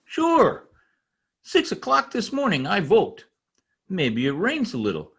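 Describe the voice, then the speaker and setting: An earnest immigrant tradesman from a radio play, with a foreign accent and halting simple English. The voice is husky and high, swinging widely with proud excitement, and takes its time. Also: exaggerated voice. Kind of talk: old-time radio comedy acting.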